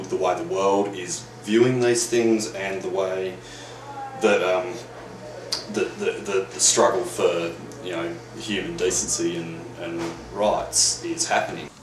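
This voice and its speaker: Man, deep voice, halting reflective interview, distant echoey recording. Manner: halting, searching for words, hedging. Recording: echoey, distant mic, noisy background.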